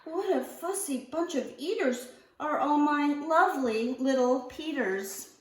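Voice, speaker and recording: Lady doing a sweet tired storybook mom murmuring to herself, fairly high voice, echoey room. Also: unhurried. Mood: weary, fond. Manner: soft chuckling, murmuring, sighing to herself. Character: sweet, patient, increasingly weary young mother of many. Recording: roomy echo, distant mic.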